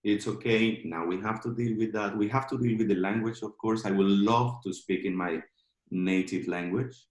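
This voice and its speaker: A man from Colombia speaking English with a Colombian Spanish accent on a video call, talking casually in halting phrases full of "like" and restarts while he cooks in his kitchen. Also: on the low side, fairly even intonation. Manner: halting, many filler "like"s and restarts. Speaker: guest speaker. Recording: echoey room.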